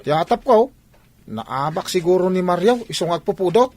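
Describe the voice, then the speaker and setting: A man's voice: high voice, expressive, moderate pace, a little mellow. A man with an Ilocano accent playing an eager, humble young athlete in a radio drama. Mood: uneasy. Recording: music bed under dialogue.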